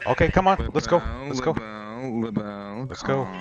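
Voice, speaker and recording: A man's voice: fairly high pitch, moderate pace, crowd noise. A man doing improvised in-character roleplay, often stammering and repeating his words. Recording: muffled stream audio with faint music.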